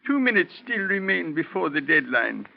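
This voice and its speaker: An actor playing a sick, proud old Southern aristocrat in 1940s radio drama style, with formal, courtly speech. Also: male voice, a little high, sing-song, moderate pace, vocal fry, full character voice. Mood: quietly defiant. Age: older. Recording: dull, crackly old radio recording.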